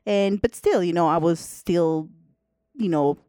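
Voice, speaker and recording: Woman in her 40s from el salvador, lowish voice, talks loose and chatty with lots of "you know", dry close mic. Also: unhurried, mellow, no room sound. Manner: chatty, laughs often, many fillers like "you know". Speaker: podcast co-host. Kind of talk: casual conversational storytelling.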